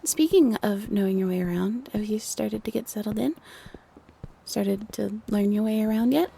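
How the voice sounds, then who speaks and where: A woman's voice: medium pitch, moderate pace, vocal fry, mellow, a little hiss or hum, lightly acted. A woman voicing a short, friendly young non-human city local in a roleplay audio drama, chatty and a little flustered.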